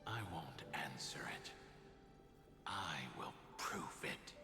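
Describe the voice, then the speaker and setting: An actor playing a solemn, weary leader in a game, with a measured, grave and formal delivery. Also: male voice, rumbling low, expressive, in a whisper, exaggerated voice. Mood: dry, terse. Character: solemn, weary male Vidyadhara leader with hidden anguish. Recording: long echo, music behind.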